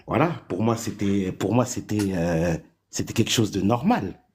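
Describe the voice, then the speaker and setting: Low-voiced man, halting testimony. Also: animated, a little mellow. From France. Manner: halting, many restarts and fillers. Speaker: guest. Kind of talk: personal testimony.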